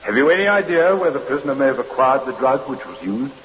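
An actor playing a probing, theatrical prosecuting barrister, formal and deliberate, in a radio courtroom drama. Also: male voice, mid-range voice, expressive, close to an everyday voice. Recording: echoey, muffled, hissy old radio recording.

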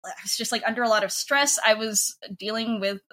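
A woman's voice: a little high, fairly quick, sharp tone. A woman in her twenties talking casually as an interview guest, in a chatty, informal way peppered with "like". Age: 20s. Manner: informal, chatty, heavy use of "like" as filler. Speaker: podcast creator and writer, interview guest.

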